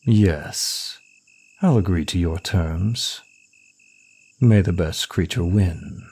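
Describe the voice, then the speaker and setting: A man playing a suave, smug male demon of lust in an ASMR roleplay, with a teasing, deliberate, seductive delivery. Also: deep voice, darkish tone, slightly rough, exaggerated voice. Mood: amused, smug.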